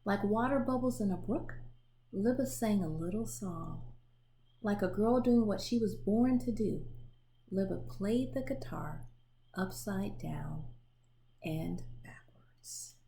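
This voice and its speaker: Slow, mellow woman reading a storybook. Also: mid-range voice, vocal fry, low-quality recording. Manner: expressive storytelling with pauses to show the pictures. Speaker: storybook reader. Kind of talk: children's picture book read aloud.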